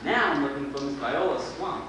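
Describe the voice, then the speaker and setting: A ponderous, clueless detective acted by a man on a children's theater stage, his voice a bit high for a man. He sounds far off in a booming room under loud noise. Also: expressive, normal speed, natural voice. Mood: pondering. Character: slow-witted police detective, earnest and useless. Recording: very noisy, echoey hall from far off.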